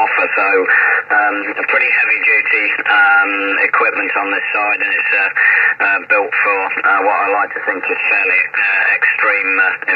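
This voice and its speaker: A man from England on a ham radio contact, talking technically with many pauses and fillers. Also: low-pitched. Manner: halting, many uhs, technical. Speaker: radio amateur, antenna maker. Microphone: heard over a transceiver speaker. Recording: dull lo-fi radio receiver, loud static.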